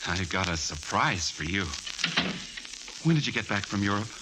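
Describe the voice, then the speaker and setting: An actor playing a grandiose, unstable composer in a theatrical 1940s radio drama style, with rhapsodic delivery and dramatic pauses. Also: male voice, lowish voice, expressive, heavy background noise, natural voice. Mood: effusive. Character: grandiose, unstable male composer, feverish and doomed. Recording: old 1940s radio recording, music behind.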